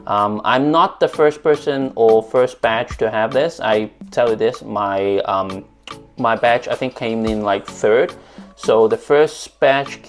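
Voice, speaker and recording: A man's voice: on the low side, slow, soft background music. A man from Hong Kong with a Cantonese accent, chatting casually through a product unboxing with lots of fillers and restarts. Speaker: vlogger.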